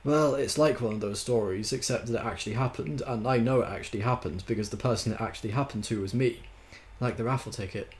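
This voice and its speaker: A man's voice: medium pitch, fast, lightly acted. A man voicing a mild, affectionate storybook man who rambles dryly through his anecdote. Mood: earnest.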